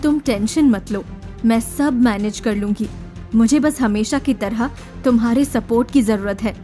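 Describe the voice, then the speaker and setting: A woman's voice: a little high, normal speed, natural voice. A woman playing a composed, determined young surgeon in an audio drama, assured and politely guarded. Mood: confident. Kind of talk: Hindi audio drama acting. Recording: loud music bed under voice. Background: dramatic background music.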